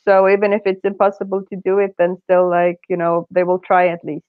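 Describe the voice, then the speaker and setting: A woman's voice: fairly low pitch, level tone, fairly quick, smooth, dry recording. Woman, hesitant panelist; thin phone-like call audio, dry. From Estonia. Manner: hesitant, many fillers and restarts. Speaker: panelist in an online meetup. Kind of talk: casual discussion. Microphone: video call.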